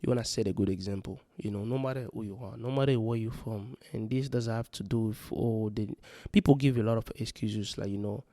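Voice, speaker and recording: A man's voice: lowish voice, a little fast, few pauses, dark tone, no echo, clean studio sound. A man from Congo, talking earnestly and rambling in a casual vlog, full of "you know" fillers. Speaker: vlogger giving parenting advice. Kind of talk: casual spoken vlog monologue. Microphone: handheld or close mic.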